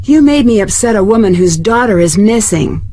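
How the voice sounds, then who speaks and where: An actress playing an earnest, persistent young detective in a video game, speaking in a plain, questioning way. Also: female voice, medium pitch, lively intonation, normal speed, mellow, natural voice. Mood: earnest, probing. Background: background music.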